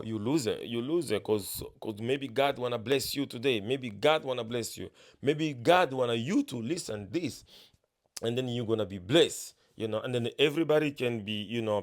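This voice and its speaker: Earnest motivational speaker, male, non-native English, lowish voice, halting delivery. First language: not English. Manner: earnest, halting, many restarts and fillers. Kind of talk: casual motivational monologue.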